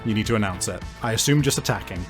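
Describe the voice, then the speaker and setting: A man's voice: fairly low pitch, fast talker. Man running a tabletop game over music. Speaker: game master. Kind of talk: running a tabletop role-playing game, explaining rules.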